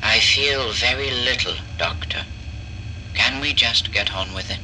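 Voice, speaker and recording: A man playing a despondent, withdrawn woman patient in a horror audio drama, speaking in a brooding, deliberate, theatrical way. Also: medium pitch, bright, forceful tone, a little hiss or hum. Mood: flat, impatient. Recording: long reverb with faint background ambience.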